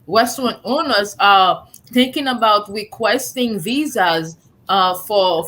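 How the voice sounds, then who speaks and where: Woman show host, thirties, bright tone, easy chatty delivery. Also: mid-range voice, low-quality recording. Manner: warm, chatty, many fillers. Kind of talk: live online talk show.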